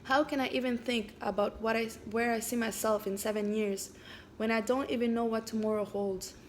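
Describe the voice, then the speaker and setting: A woman raised in Canada whose first language is French, introducing and then reading aloud her own story in a hesitant way, with frequent pauses and fillers. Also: fairly high pitch, fairly even intonation, a little hiss or hum.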